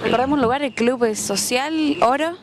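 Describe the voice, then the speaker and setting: A woman's voice: sits high, normal speed. A woman asking questions as a TV reporter on location. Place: outdoors beside a building near a road. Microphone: handheld interview microphone. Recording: outdoor street and traffic noise.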